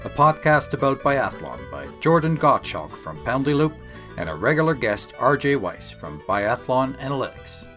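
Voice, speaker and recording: A man hosting a podcast, opening the show with a lively, upbeat welcome. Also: mid-range voice, normal speed. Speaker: podcast host. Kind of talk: show intro. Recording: spoken over guitar music.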